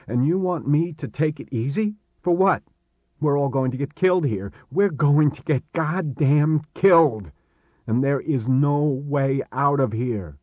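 A man's voice: medium pitch, unhurried, dry recording, narrow, tinny audio, lightly acted. A man voicing a panicked, sarcastic young man in an audiobook, agitated and insistent, stressing his words hard. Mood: panicked, angry. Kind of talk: audiobook character dialogue.

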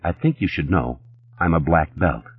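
A man's voice: rumbling low, moderate pace, husky, phone-quality sound. A man voicing a firm, unyielding doorman with a curt, official manner. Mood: firm, warning.

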